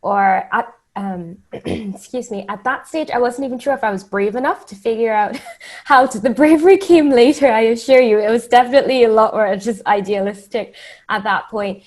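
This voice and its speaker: A woman from the Bahamas, speaking as an interview guest and telling stories in a casual, animated way. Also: fairly high pitch, normal speed.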